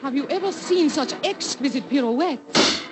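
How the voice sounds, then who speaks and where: A woman's voice: sits high, expressive, a little slow. An actress playing an elegant, coquettish society lady with refined, theatrical 1940s radio delivery. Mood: playful, admiring. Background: loud waltz music.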